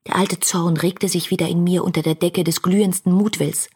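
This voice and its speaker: A fervent young romantic reciting letters in a radio drama. Her voice is husky and lowish, lyrical and intimate, with some music in the recording. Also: steady tone, normal speed, darkish tone. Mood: intense. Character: passionate, dreamy young romantic woman writing letters. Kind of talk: German radio play, literary letter reading.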